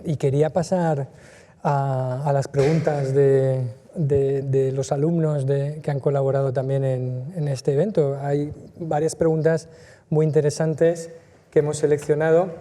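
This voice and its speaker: A man with a Spain Spanish accent moderating a public event, introducing questioners off the cuff in a hesitant, halting way. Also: medium pitch, little pitch movement, warm tone. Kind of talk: unscripted hosting, introducing questioners. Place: event auditorium. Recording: reverberant hall, noisy room ambience.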